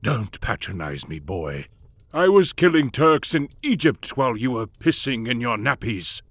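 A man voicing a gruff, bitter old lord who speaks slowly with a husky growl. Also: medium pitch, sing-song, bright, forceful tone, no room sound, voice pushed up, heavily acted. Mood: scornful. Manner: slow, growling delivery.

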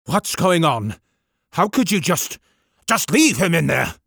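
A man's voice: a little high, sing-song, a little slow, gravelly, a bit bright, breathy edge, no room sound, studio quality, pitched above the performer's own voice, heavily acted. A man reading an audiobook, voicing a flustered, anxious vicar who speaks urgently. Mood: alarmed. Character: flustered middle-aged male vicar.

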